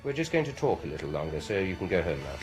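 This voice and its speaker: An actor playing a menacing dentist bent on revenge in a radio horror drama, deliberate and politely taunting. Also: male voice, sits low, sing-song, over music. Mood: brisk, courteous. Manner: soft-spoken politeness turning sinister, deliberate and taunting.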